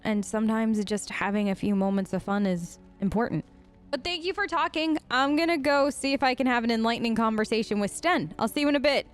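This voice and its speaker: A woman's voice: fairly high pitch, brisk, faint music behind. A woman hosting a role-play let's play, chatting casually in character in an earnest, playful way. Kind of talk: casual in-character game commentary.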